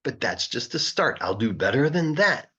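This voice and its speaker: A man voicing an eager, boastful young boy in a rhyming children's storybook, with an excited sing-song delivery. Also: medium pitch, normal speed, husky, lo-fi sound, exaggerated voice.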